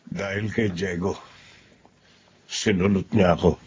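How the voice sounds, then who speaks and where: Low-voiced, gentle Filipino husband. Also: male voice, fairly even intonation, takes it slowly, husky, heavily acted. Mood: sad, hurt. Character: gentle, honest engineer husband, wronged by a friend. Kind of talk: Filipino radio drama acting. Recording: dull lo-fi audio, faint noise.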